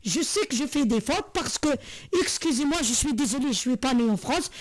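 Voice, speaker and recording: Earnest high-voiced woman from Algeria. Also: lively intonation, normal speed. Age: 40s. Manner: earnest, repetitive, many hesitations and restarts. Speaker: vlogger. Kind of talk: heartfelt monologue to camera.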